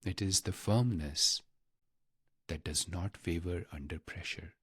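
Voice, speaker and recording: A man guiding a meditation in a measured, reverent manner, pausing deliberately between short phrases. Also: sits low, slightly rough, no room sound, studio quality. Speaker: meditation guide. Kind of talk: guided meditation narration.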